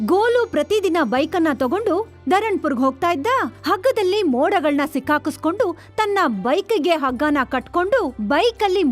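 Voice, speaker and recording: A woman narrating a scripted children's story. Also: very high voice.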